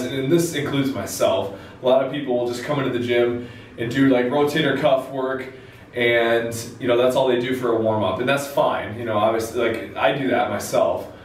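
Man in his twenties, conversational instructor, medium pitch, distant mic, faint background noise. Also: very echoey. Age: 20s. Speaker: fitness video host. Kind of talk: informal instructional talk to camera. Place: small indoor room with a whiteboard. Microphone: camera mic a few steps away.

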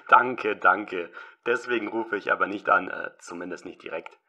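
A man playing a gruff, jovial, crude older film director in an audio drama parody. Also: lowish voice, measured pace, sharp tone, full character voice. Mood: gleeful. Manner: boisterous, crude, bluntly teasing.

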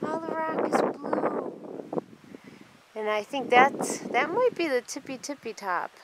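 A woman's voice: high voice, expressive, measured pace. A woman narrating a casual hiking video on a canyon trail, chatty and amazed by the views, recorded on a handheld camera outdoors. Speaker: hiker filming a trail vlog. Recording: loud wind noise on camera mic. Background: loud wind and outdoor noise.

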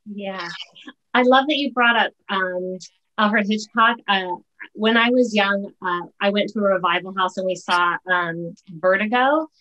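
Woman hosting, halting and emphatic, mid-range pitch. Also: sharp tone, highs cut off. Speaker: host, interviewer. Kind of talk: casual interview chat. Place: indoor home room, on a video call. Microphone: video call.

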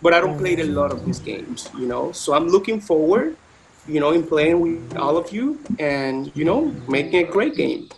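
A man's voice: on the high side, sing-song, moderate pace, gravelly, crowd noise, low-quality recording. A man in his twenties, born in Cuba, introducing himself earnestly over a video call with many fillers. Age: 20s. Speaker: contestant.

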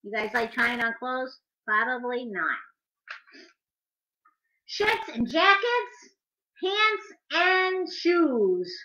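A woman's voice: high-pitched, lively intonation, very slow, long pauses, bright, forceful tone. A middle-aged woman hosting a preschool storytime, speaking and reading aloud in a sing-song, emphatic way. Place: indoors in a home living room. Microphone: webcam or laptop at arm's length. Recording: dull webcam audio, echoey living room.

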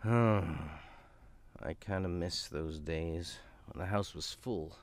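A man's voice: low-pitched, extremely slow. A man playing a sneering lonely rich man. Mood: bitter. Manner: sneering, bitter, theatrical. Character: arrogant, lonely, contemptuous wealthy man. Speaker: rich executive character. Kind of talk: radio drama acting.

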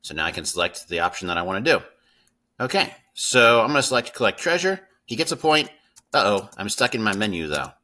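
A man's voice: fairly low pitch, big pitch swings, a little fast, bright, forceful tone. A man in his thirties giving an informal, step-by-step screen tutorial as a coding teacher, often checking in with the listener. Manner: informal, instructive, checks in with "right?" and "okay?". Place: indoors at a computer.

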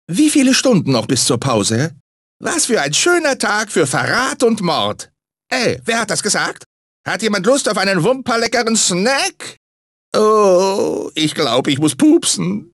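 A manic talking fruit mascot from a cartoon video game. The voice is very high and swoops all over, theatrical and grumpy under a sugary sales pitch. The sound is dry and close. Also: male voice, fairly bright tone, no room sound, full character voice. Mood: grumbling. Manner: theatrical, swinging between sugary salesman and irritable grumbler. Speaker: video game character actor.

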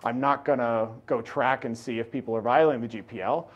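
A middle-aged man giving a conference talk from slides in a large lecture hall, projecting loudly and energetically. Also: medium pitch, a little fast, lo-fi sound. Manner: energetic, loud, projecting without amplification. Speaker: conference speaker. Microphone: room recording mic, no lapel.

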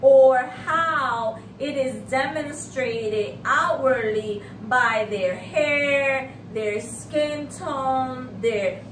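High, measured woman, echoey. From Puerto Rico. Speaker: art show host, artist. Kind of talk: conversational talk show. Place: indoor room hung with paintings. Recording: echoey room, distant mic, noisy background.

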